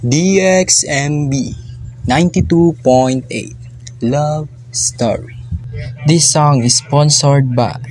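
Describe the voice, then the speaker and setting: A man's voice: a little high, takes it slowly, over music, low-quality recording. A man with a Filipino accent reading scripted station idents and show intros as a radio announcer.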